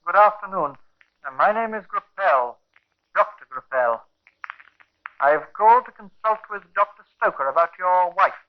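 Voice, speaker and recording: An actor playing a dignified, precise and courteous family physician in a 1950s radio drama. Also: male voice, high voice, animated, moderate pace. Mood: formal, cool. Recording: dull, lo-fi old radio recording.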